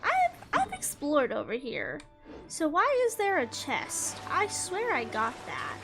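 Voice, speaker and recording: A woman's voice: high-pitched, huge pitch swings, slow pace. A woman giving casual, chatty gameplay commentary, playfully talking to herself as she plays. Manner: chatty, playful self-talk. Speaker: gaming streamer. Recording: game music behind the voice. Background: loud video game music.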